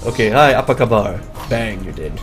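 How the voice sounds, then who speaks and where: A young man with a Malaysian accent, co-hosting a live gameplay stream in a relaxed, chuckling way. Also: lowish voice, a little fast, loud music behind the voice. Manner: relaxed, chuckles often, hedges with "I mean". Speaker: co-host playing a game on a live stream.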